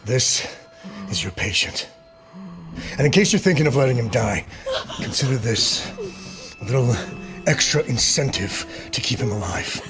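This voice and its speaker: Music plays prominently behind an actor voicing a threatening male outlaw. He is curt and commanding, a mid-pitched man full of menace in a game drama. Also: animated, unhurried, pauses often, close to an everyday voice. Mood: cold menace. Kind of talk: video game cutscene acting.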